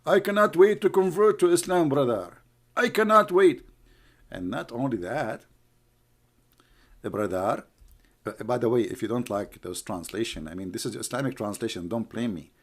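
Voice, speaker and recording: A man's voice: mid-range voice, animated, moderate pace. A man whose first language is Arabic, hosting a livestream with sarcastic, mocking, rambling commentary. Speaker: livestream host.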